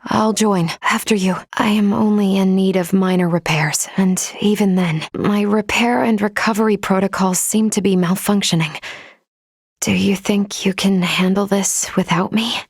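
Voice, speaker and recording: An actress voicing a composed, polite female android in a video game, speaking in formal, measured, precise phrases. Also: medium pitch, fairly even intonation, breathy edge, no room sound, clean studio sound. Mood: calm, polite. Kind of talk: scripted video game character lines.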